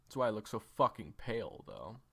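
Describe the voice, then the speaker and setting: A deep, gravelly male assassin acted by a man for an audio roleplay. He is haunted and weary, brooding through halting, dramatic pauses. His pitch moves widely as he speaks. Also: sing-song, exaggerated voice. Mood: agitated. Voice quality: gravelly, low.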